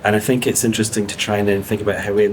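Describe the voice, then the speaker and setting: A man's voice: on the low side, level tone, very fast, slight background noise, poor audio. A man on a panel, talking steadily with many fillers. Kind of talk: panel discussion.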